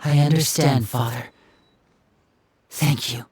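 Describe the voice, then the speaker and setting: An actor playing an earnest, devoted young man in a video game, husky and speaking with respectful resolve. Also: mid-range voice, fairly even intonation, slow pace, a little mellow. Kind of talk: dramatic game dialogue.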